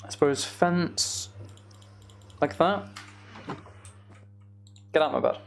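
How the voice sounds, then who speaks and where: A man's voice: fairly high pitch, slow. A man hosting a live gaming stream, chatting casually with viewers and muttering each step of his task to himself. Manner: muttering step-by-step self-narration of tasks. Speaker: livestream host. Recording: clear background noise, keyboard clicks. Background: computer keyboard typing and clicking.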